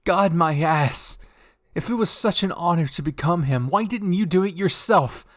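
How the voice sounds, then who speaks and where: Guy doing a scared but defiant young royal girl, higher pitched, halting, dramatic anime fanfic acting, dry sound. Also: animated, dry recording. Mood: angry, defiant. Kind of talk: dramatic fan fiction roleplay acting.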